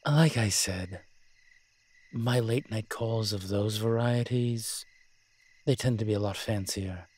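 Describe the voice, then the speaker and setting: A man playing a proud, flirtatious incubus demon professor in an intimate audio roleplay, husky and teasing, with hesitant, drawn-out pauses. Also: on the low side, darkish tone. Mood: hesitant, uneasy.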